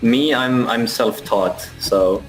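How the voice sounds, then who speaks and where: A man's voice: mid-range voice, takes it slowly, soft background music, poor audio. A man, answering interview questions thoughtfully and with hesitation. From Finland. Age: 20s. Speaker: guitarist in a rock band.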